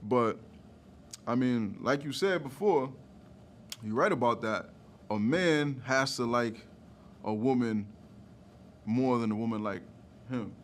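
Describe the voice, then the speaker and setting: A man in his thirties chats as a podcast host. His delivery is casual and slangy, full of conversational check-ins, in a mid-pitched voice. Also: slow pace, long pauses, slight background noise. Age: 30s. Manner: repeats "you know what I mean" often, slangy.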